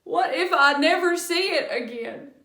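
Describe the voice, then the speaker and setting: A woman voicing a polite, sad male bear in a picture book read aloud, with a slow, plodding delivery. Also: very high voice, lively intonation, bright, forceful tone, pitched above the performer's own voice, full character voice. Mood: forlorn. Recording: echoey room.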